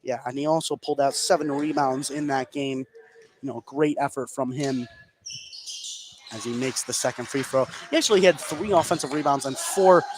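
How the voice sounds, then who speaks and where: A man doing live radio play-by-play commentary of a basketball game, excitable on big plays. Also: medium pitch, moderate pace. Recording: clearly noisy live sports broadcast.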